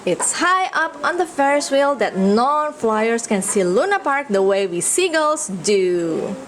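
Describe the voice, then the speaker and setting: Female children's read-aloud storyteller, higher voice, unhurried expressive delivery, slight background noise in the recording. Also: rough recording. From Indonesia. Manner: expressive, pausing to ask listeners questions.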